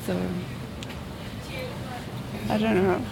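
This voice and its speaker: A woman telling her family's story in casual conversation, in slow, searching non-native English. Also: heavy background noise.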